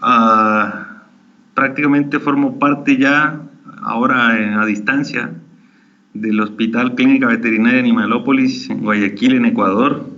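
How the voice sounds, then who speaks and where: A Mexican man in his forties with a slightly mixed Mexican and Chilean accent, a veterinarian opening an online lecture in a friendly, halting way with many fillers. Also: mid-range voice, fairly even intonation, pushed and bright, dull audio. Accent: Mexican with some Chilean. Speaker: veterinarian giving a webinar talk.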